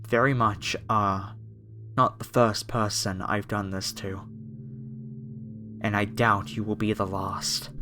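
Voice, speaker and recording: A man playing a polite, apologetic masked cultist, a former music director, in a horror ASMR roleplay addressed to the listener. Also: lowish voice.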